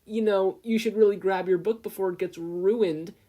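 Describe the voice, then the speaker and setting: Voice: medium pitch, steady tone, moderate pace, mellow, pitched above the performer's own voice, close to an everyday voice. A reader voicing a smug, jeering teenage boy who tags along as a bully's crony. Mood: smug taunting. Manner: taunting.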